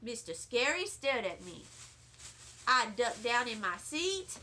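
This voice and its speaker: A woman reads a children's book aloud with expressive, deliberate delivery. Her voice is bright and high, and she takes her time through each sentence. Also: slight background noise. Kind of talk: animated read-aloud storytelling in a child's first-person narration.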